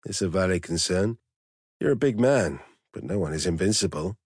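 A man's voice: sits low, animated, husky, no echo. A man voicing a dry, suave English lover. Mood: cool, teasing. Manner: dry, measured, teasing. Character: suave male lover, polished English accent hiding Russian.

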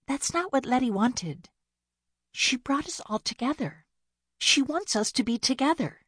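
A woman voicing the excitable, outspoken youngest sister of the family. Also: high-pitched, unhurried, breathy edge, no echo, studio quality, voice pushed up, full character voice. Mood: excited.

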